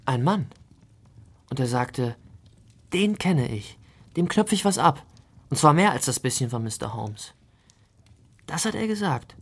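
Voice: medium pitch, sing-song, measured pace, long pauses, slightly rough, exaggerated voice. A performer playing a tough, mercenary street boy in a German radio play, blunt and colloquial. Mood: defensive.

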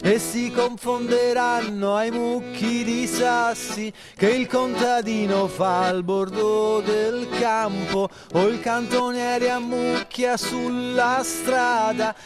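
High, slow male ballad singer with accordion. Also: flat delivery. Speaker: singer in a theatre piece. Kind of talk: sung narrative ballad. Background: loud live accordion music.

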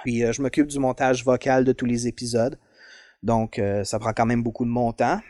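A man's voice: medium pitch, flat delivery, moderate pace, a little mellow, dry recording, studio quality. A man in his thirties with a Quebec French accent, chatting as a podcast guest about his hobbies, hesitant with many fillers. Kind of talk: casual interview chat.

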